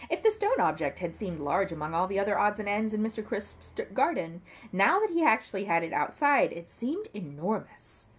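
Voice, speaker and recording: A woman's voice: mid-range voice, very animated, normal speed, a little hiss or hum. A woman in her thirties reading a children's book aloud expressively, as a narrator at home. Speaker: story reader. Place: dim room at home.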